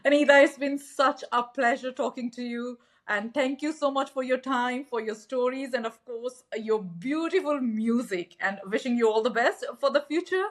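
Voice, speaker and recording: A woman's voice: sits high, moderate pace, hardly any pauses. A woman hosting a podcast interview, asking questions hesitantly with many fillers. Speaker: podcast host.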